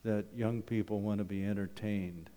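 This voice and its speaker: A man's voice: lowish voice, steady tone, normal speed, warm tone. Folksy man in an echoey hall. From Canada. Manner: humorous, conversational, with rhetorical questions. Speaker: wilderness school principal giving a presentation at church. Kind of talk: folksy public talk with slides. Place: church hall, talking to a congregation. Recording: echoey hall with long reverb.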